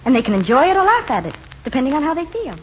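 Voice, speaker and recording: An actress playing a wistful, dreamy young waitress in a 1940s radio drama, speaking in a plain, earnest way. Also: female voice, sits high, big pitch swings. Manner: plainspoken and earnest, slower when reciting verse. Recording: muffled, noisy 1940s radio broadcast.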